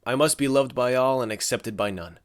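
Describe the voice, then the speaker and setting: A man's voice: mid-range voice, brisk, voice pushed up, close to an everyday voice. A man voicing a lonely, self-deprecating male student in an audiobook, with a wry, awkward manner.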